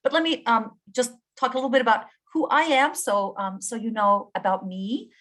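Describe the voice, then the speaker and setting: A woman from Jordan, a professor and guest speaker, giving a presentation on a video call in a warm, effusive way with frequent ums. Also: fairly high pitch, moderate pace.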